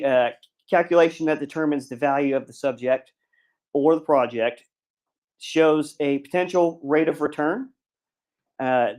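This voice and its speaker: A man of middle pitch lectures on lending at a seminar. He explains terms step by step in a plain tone, with frequent ums and uhs. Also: darkish tone. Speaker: bank commercial lender, guest speaker. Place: seminar room.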